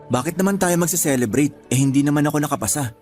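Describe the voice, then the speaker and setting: A man acting as a discouraged, bashful young boyfriend in a radio drama, with a hesitant, soft-hearted manner. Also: on the high side, fast, soft background music, close to an everyday voice. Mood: lightening up. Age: young adult. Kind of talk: Tagalog radio drama dialogue.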